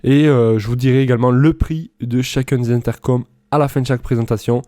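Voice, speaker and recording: Give the voice of a man doing a casual product review voice-over. His tone is mellow and soft-edged, recorded very dry with no room sound. He explains things informally, as if improvising from loose notes. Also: mid-range voice, fairly even intonation, fairly quick, dry recording. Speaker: presenter of product comparison videos.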